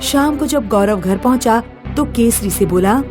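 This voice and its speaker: A woman reads a scripted tale as narrator. Her voice is fairly high, with soft music underneath. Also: animated, unhurried. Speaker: story narrator.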